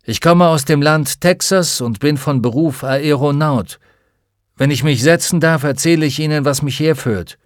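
A man's voice: fairly high pitch, moderate pace, no echo, natural voice. A polite, eager Texan aeronaut, as a male narrator puts him on, his voice lifted a little above the reader's own. He speaks up with courteous energy. The recording is close and dry. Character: polite Texan balloon pilot, adult man. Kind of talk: audiobook character voice.

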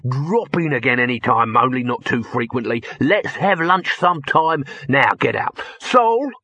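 A man's voice: medium pitch, expressive, unhurried, sharp tone, breathy edge, no echo, heavily acted. A man voicing a scheming male film-studio boss with a slick, wheedling salesman's manner.

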